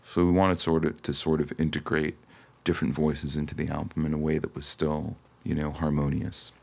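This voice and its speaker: Male interview guest, extremely low and gravelly, thoughtful and halting, conversational. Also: level tone. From Toronto, Canada. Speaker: singer-songwriter guest. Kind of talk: casual interview chat.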